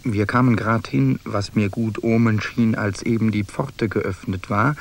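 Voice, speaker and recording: A man's voice: mid-range voice, creaky, slight background noise. An actor in a German radio drama playing a hardened soldier who narrates his own tale in archaic, clipped diction. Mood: wry, reflective.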